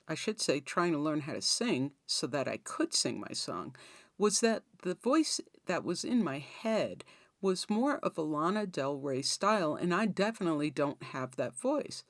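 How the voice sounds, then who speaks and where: Female tutorial host, slightly low pitch, lively intonation, conversational explainer delivery, close dry sound. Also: no room sound. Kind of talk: instructional tutorial narration.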